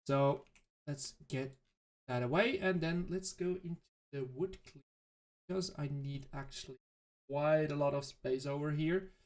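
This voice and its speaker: Slow, halting German-accented man. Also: medium pitch. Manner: thinking aloud, halting with restarts. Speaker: let's play host. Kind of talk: casual gameplay commentary.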